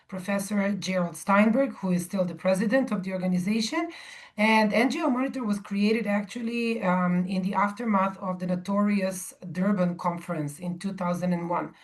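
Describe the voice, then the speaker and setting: Woman, from Serbia, 40s, audience talk, measured, hesitant fillers, middle pitch. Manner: measured, with frequent ums and restarts. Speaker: guest speaker.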